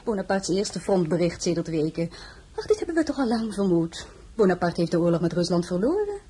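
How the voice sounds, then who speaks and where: An actress playing a worldly, mature French empress, vain and shrewd, with a languid, coaxing delivery in an audio drama. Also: female voice, fairly low pitch, sing-song, brisk, mellow, breathy edge, full character voice. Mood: shrewd, detached. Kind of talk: acted audio drama dialogue.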